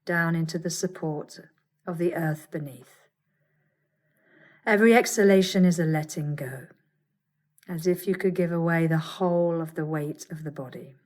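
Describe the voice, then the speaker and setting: A woman teaching yoga nidra, guiding a meditation with soothing, measured instructions and gentle pauses. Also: on the low side. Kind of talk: guided meditation.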